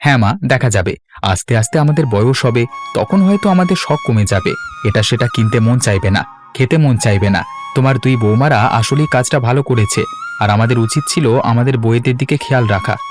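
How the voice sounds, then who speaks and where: A man's voice: mid-range voice, quick pace, music in the background, lightly acted. A man voicing a young village husband in a cartoon, earnest, insistent and reasonable. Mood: appreciative. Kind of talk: cartoon voice acting.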